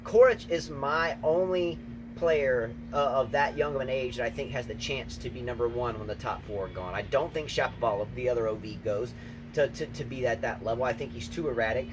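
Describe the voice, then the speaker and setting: Excitable man chatting outdoors in wind. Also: mid-range voice, brisk. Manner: emphatic, repeats himself, excitable. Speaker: tennis channel co-host, coach. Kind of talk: casual outdoor chat. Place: outdoors, windy. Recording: noisy outdoor recording, wind.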